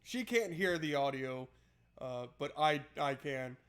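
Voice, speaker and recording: A man's voice: fairly high pitch, lively intonation, a little slow, fairly bright tone. A man doing casual, joking playthrough commentary and reading game narration aloud in an informal way. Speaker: game streamer.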